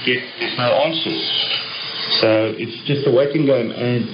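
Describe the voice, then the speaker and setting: A man's voice: mid-range voice, a little slow. South African man on noisy radio. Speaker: migrant worker, interviewee. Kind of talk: spontaneous interview talk. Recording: muffled shortwave radio, clear static.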